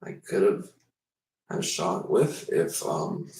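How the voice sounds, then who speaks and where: A man in his twenties rambles through a casual livestream, drifting between topics with self-deprecating asides. His voice sits very low and swings widely in pitch. He is recorded in an echoey room, some distance from the mic. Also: very animated, slow, gravelly, darkish tone. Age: 20s.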